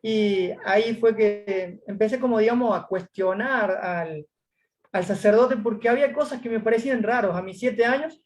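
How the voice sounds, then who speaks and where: A man's voice: sits high, moderate pace. Man, Argentine accent, from Córdoba, interview guest, hesitant, lots of fillers. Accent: Argentine Spanish. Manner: hesitant, many fillers, retells his story. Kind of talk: casual testimony interview.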